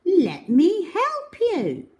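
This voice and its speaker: A woman acts out a hasty little storybook girl with a very high voice. She is eager and bossy, gushing in a pushy way, and her pitch swoops about. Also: wildly swooping pitch, extremely slow, a little mellow, exaggerated voice. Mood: eager, insistent. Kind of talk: children's storybook character voice.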